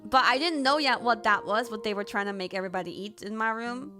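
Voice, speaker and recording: A woman streamer telling stories in casual chat, animated, jumping to squealed quotes. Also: fairly high pitch, fast talker, faint music behind. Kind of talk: casual chat, recapping a trip.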